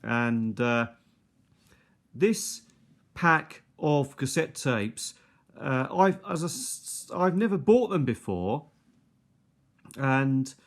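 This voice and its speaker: Slow-talking man, hesitant and conversational. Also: mid-range voice. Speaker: video host. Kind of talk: unscripted casual product show-and-tell. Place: indoors at a kitchen table. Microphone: handheld or nearby tablet's built-in mic.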